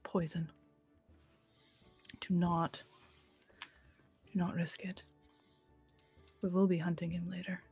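Man doing a breathy whispering companion. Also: high-pitched, little pitch movement, slow pace, long pauses, a little mellow, faint music behind, pitched above the performer's own voice, heavily acted. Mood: hushed.

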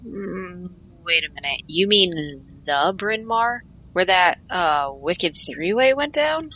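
Woman as sly, bright-voiced streetwise teammate. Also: fairly low pitch, lively intonation, slow pace, exaggerated voice, poor audio. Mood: intrigued. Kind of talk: audio drama dialogue.

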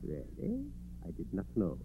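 A man acting a sly, evasive fraud spirit medium in a 1940s radio drama, theatrical and smooth but easily flustered. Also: low voice, huge pitch swings, unhurried, heavily acted. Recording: scratchy 1940s radio recording, muffled, narrow band, hum.

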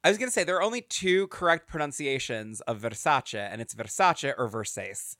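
A man's voice: mid-range voice, lively intonation, fairly quick, sharp tone, dry recording, clean studio sound. A man co-hosting a podcast, chatting playfully with jokey voices. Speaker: podcast co-host. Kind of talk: casual chat.

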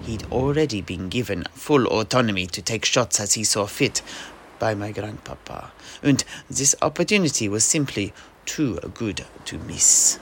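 Knight storyteller male actor: gravelly, theatrical in-character narration for fantasy audio drama, faint background ambience. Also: lowish voice, animated, normal speed, a little hiss or hum. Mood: animated recounting. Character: storytelling mercenary knight, proud grandson recounting sea adventure.

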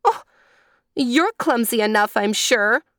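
Woman as a high-voiced, frank little girl. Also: big pitch swings, measured pace, no echo, studio quality. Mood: reproving. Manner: frank, reproving. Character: outspoken little girl, curious, amused and readily indignant. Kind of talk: children's storybook character reading.

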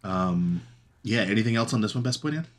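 A man co-hosting a casual chat show, his voice sitting a bit low. He gushes eagerly, trips over his words and restarts sentences often. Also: expressive. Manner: enthusiastic, halting with restarts and fillers. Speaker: podcast co-host.

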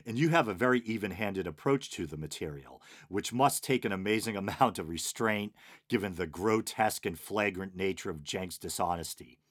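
Boston-accented male podcast host, very low voice, dry sarcastic humor. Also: expressive, normal speed, a bit bright. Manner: wry, dryly sarcastic, chuckling asides. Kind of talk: casual solo podcast chat, reading listener comments.

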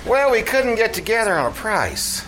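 A man's voice: sits high, very animated, moderate pace, music in the background, low-quality recording. A man in a radio comedy troupe playing a scheming tavern manager with a working-class dialect, given to mangling his words. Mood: brisk bluffing. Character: scheming, malaprop-prone New York tavern manager. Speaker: actor in a stage troupe. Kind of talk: live old-time radio comedy acting. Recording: live theater recording with background noise.

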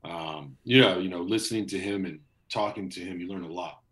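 A man in his thirties, a voice actor, chatting casually in a relaxed, rambling way over a video call. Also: sits low, fairly quick. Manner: relaxed, rambling, many fillers and restarts. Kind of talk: casual interview chat.

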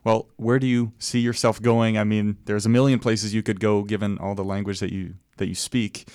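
Male interview host, low voice, dry close sound, curious questions. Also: flat delivery, a little mellow, smooth, dry recording. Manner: curious, asks quick follow-up questions.

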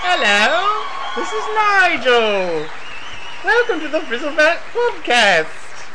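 A man voicing a high-pitched male announcer who opens the show. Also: wildly swooping pitch, slow pace, a crowd in the background, noisy background, heavily acted. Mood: announcing. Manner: bright announcer introducing the show.

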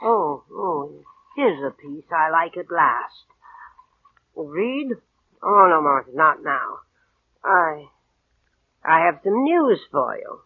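An actress playing a vain, demanding old invalid aunt in 1940s radio drama, querulous, condescending and coquettish. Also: female voice, low voice, very animated, slow pace, pauses often, dry recording, narrow, tinny audio, heavily acted. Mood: coy, teasing. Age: older. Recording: husky band-limited old radio recording.

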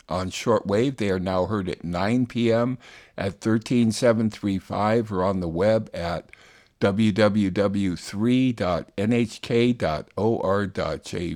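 A man's voice: on the low side, slow, darkish tone. A man hosting a radio show, reading scripted announcements between news segments.

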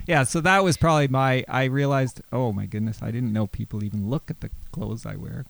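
A man who hosts a podcast and teaches law, talking casually in a monotone, dry and self-deprecating way. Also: mid-range voice, a little fast, vocal fry, no echo. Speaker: podcast host and law professor.

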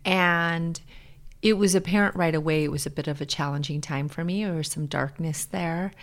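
A middle-aged American woman speaking earnestly and in a measured way as a guest in a reflective personal interview. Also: sits low. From Hawaii, USA.

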